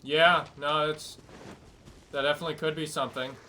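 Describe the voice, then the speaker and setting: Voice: mid-range voice, fairly even intonation, normal speed, bright, forceful tone, a little hiss or hum, low-quality recording. A game streamer giving casual, offhand, sweary commentary on a playthrough, muttering reactions along the way. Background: video game music.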